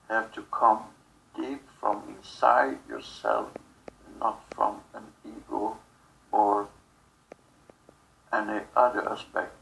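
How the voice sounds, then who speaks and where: Slow, gravelly man channeling in halting phrases. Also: lowish voice, fairly bright tone, slight background noise, low-quality recording. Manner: halting, fragmented phrases with long pauses. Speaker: spiritual channeler. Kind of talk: channeled spiritual message.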